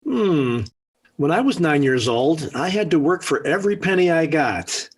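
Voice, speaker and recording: Exasperated, swooping radio sitcom dad. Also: male voice, a little high, big pitch swings, normal speed, breathy edge. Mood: sardonic, firm. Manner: stern, dry, put-upon. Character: exasperated, sardonic middle-aged suburban father. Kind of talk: 1940s radio sitcom acting. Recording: vintage 1940s radio broadcast.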